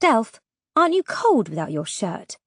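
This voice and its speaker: A woman's voice: a little high, very animated, measured pace, no room sound, clean studio sound. A woman voicing a spirited, determined young heroine with a direct manner in an audiobook. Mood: mock innocent.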